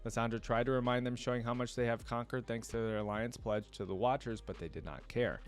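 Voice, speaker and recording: A man's voice: medium pitch, steady tone, fairly quick. Casual man reacting and thinking aloud. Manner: conversational, thinking aloud, often musing to viewers. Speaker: reaction video host. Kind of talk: casual reaction commentary, reading wiki text aloud.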